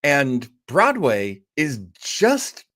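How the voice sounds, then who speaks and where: A man hosting an old-time radio show, telling its history informally, with emphatic pauses and repetition. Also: medium pitch, huge pitch swings, sharp tone. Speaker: host presenting old-time radio shows. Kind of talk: informal storytelling lecture.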